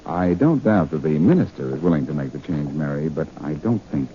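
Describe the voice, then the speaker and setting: An actor playing a wry, confident detective hero in 1940s radio drama style, with a teasing manner. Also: male voice, rumbling low, animated, brisk, mellow. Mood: light, bantering. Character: wry, confident amateur sleuth hero, adult man. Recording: muffled, noisy 1940s radio recording.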